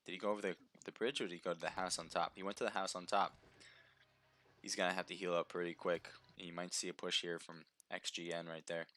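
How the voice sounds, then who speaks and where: A man giving chatty play-by-play commentary on a live mobile game match, his voice slightly hoarse from a sore throat. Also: low voice, level tone, fast talker, sharp tone. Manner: chatty, upbeat, reads viewer names aloud.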